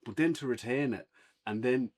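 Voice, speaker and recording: A man's voice: medium pitch, moderate pace, darkish tone. An Irish man co-hosting a casual, unscripted review podcast, talking in an informal, conversational way.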